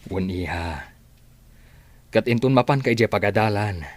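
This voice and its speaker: An actor playing a gentle middle-aged father who soothes his daughter, in a radio drama. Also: on the low side, lively intonation, normal speed, no room sound. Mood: reassuring.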